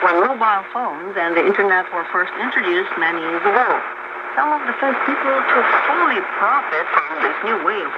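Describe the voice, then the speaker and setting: High-voiced creaky man on noisy shortwave. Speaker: radio co-host reading listener letters. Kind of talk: scripted radio presentation. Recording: noisy muffled shortwave radio reception. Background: heavy shortwave static.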